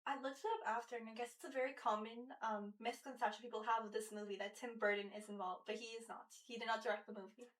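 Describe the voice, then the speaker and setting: A hoarse young woman co-hosting a podcast in a relaxed, conversational way. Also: a little high, fast talker, few pauses. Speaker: podcast co-host. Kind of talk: casual chat. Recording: distant mic.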